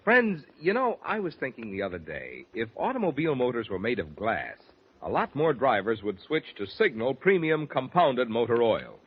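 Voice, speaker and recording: A man's voice: medium pitch, huge pitch swings, normal speed, slightly rough. A man announcing a 1940s radio commercial with folksy, emphatic salesmanship. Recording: dull vintage radio recording.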